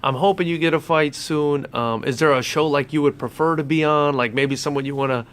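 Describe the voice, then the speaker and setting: A man interviewing a fighter, asking relaxed questions and agreeing often. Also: on the high side, a little fast. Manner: relaxed, frequent backchannel agreement.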